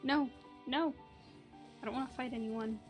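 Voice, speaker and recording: A woman's voice: high voice, fairly even intonation, moderate pace, faint music behind. A woman hosting a gaming video with casual, playful commentary, musing aloud to herself. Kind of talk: casual let's play commentary.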